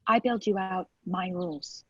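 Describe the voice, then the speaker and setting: A woman playing a hurt, blunt lawyer speaking to an ex in a naturalistic screenplay table read, halting and emotional. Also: lowish voice, breathy edge, no echo, lo-fi sound. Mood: firm, weary. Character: hurt, blunt ex-partner, a hard-working lawyer.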